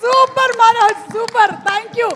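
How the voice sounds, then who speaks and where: A woman's voice: very high-pitched, steady tone, takes it slowly, sharp tone, a faint crowd, a little hiss or hum. A woman hosting a film event on stage in a playful, prompting manner. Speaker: event host. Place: large event venue, amplified stage. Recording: hall PA with long reverb.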